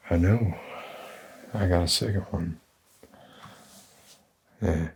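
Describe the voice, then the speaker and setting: A man playing a gruff but tender, weary middle-aged father figure in a soft-spoken ASMR roleplay, with a husky voice and a gentle, halting drawl. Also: slight background noise. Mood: tender, hesitant. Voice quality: husky, low.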